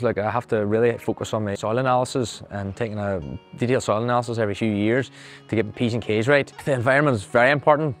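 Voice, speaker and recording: A man in his thirties with a Donegal Irish accent, a farmer talking earnestly and plainly about his farm. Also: medium pitch. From Ireland. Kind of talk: interview monologue.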